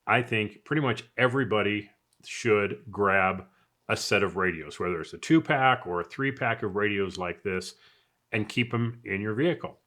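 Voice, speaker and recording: Low-voiced American man giving a casual review. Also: normal speed, sharp tone. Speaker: product reviewer and off-road video host. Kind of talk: casual product review to camera. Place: indoors in a house.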